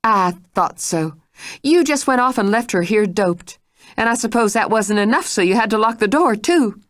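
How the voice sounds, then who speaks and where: A woman's voice: medium pitch, sing-song, normal speed, dry recording, studio quality, pitched above the performer's own voice, close to an everyday voice. Woman doing a stern scolding housekeeper. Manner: scolding, forceful.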